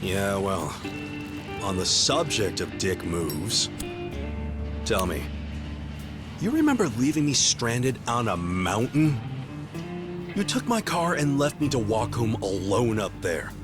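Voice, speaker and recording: Casting: a wisecracking young yakuza buddy, very animated pitch, unhurried, teasing and profane, music under. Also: male voice. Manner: teasing, excitable, swears freely. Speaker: game character. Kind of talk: English video game dub. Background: game soundtrack music.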